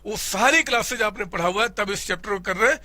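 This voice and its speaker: A man from India teaching an accounting class in a playful, animated way, hoarse from a cold. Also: high-pitched, fast, dry recording. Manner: animated, playful, addresses students as "my child"; voices students' questions himself. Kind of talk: classroom lecture.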